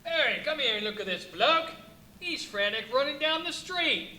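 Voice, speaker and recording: A man's voice: very high-pitched, animated, moderate pace, sharp tone, exaggerated voice. A man playing an alarmed, selfish householder in a live radio play, flustered and exclaiming. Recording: roomy live stage, faint noise.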